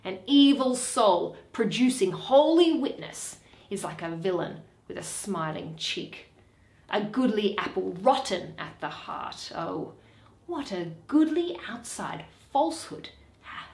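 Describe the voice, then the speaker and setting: A proud, scornful Venetian merchant, played by a woman reading Shakespeare. The delivery is stern and declamatory, unhurried and weighty. The sound is roomy, with noticeable echo. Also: medium pitch, big pitch swings, a little mellow.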